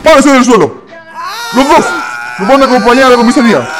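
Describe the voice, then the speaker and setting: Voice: medium pitch, animated, fast talker, over music, natural voice. A performer playing a stern, bossy police officer with a Chilean accent, commanding, in a radio drama.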